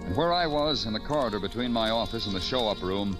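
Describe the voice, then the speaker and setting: A low-pitched, world-weary detective played by a male radio actor in a vintage noir crime drama. His delivery is measured and brooding, and music plays prominently in the old broadcast. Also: animated, natural voice, poor audio.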